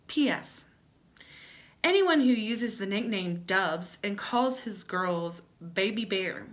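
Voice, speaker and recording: A young woman hosting a vlog, giving dramatic comedic readings with wry asides in a theatrical, sardonic manner and emphatic pauses. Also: medium pitch, fairly bright tone. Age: young adult. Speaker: vlog host. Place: small plain-walled room at home.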